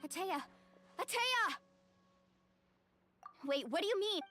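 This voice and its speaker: High-voiced, earnest young woman leader. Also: animated, slow, pushed and bright, soft background music, full character voice. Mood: anxious. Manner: earnest, commanding. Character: caring, resolute young woman leader of fighters. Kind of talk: video game voice acting.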